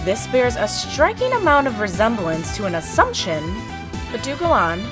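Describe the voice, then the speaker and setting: A woman voicing a prim, sharp-tongued female rabbit prosecutor with a dry manner. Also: on the high side, very animated, moderate pace, music in the background.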